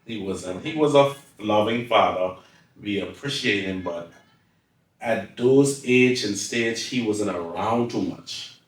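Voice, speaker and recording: A man's voice: on the low side, slow. A man with a Turks and Caicos accent telling his life story as an interview guest, emphatic and often repeating his phrases. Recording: echoey room, distant mic.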